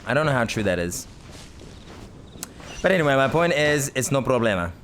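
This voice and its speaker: A man's voice: mid-range voice, sing-song, moderate pace, fairly bright tone, slight background noise. A man from Britain giving casual, rambling let's-play commentary as he plays, chatty, self-mocking and often laughing. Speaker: gaming video host.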